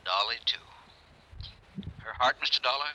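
A man's voice: medium pitch, normal speed, sharp tone, dull audio. An actor playing a brooding male country doctor who speaks pointedly, in an old-time radio mystery. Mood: brooding, bitter. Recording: old radio drama recording.

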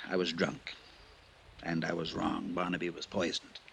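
An actor playing a harried, middle-aged village doctor, a remorseful drinker, husky and halting in an old time radio drama. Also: male voice, sits low, monotone, a bit bright, a little hiss or hum, exaggerated voice. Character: harried, worn-out village doctor under sixty, remorseful drunk.

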